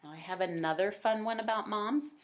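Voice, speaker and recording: A woman's voice: mid-range voice, a little fast, slight background noise. Woman reading a children's storybook aloud. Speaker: read-aloud storyteller, teacher.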